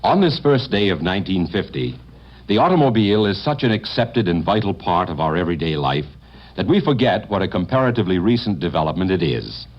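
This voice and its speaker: A man's voice: sits low, big pitch swings, fairly quick. A man reading a formal sponsor announcement as a radio announcer, with a polished, emphatic delivery. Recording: dull lo-fi 1950 radio broadcast.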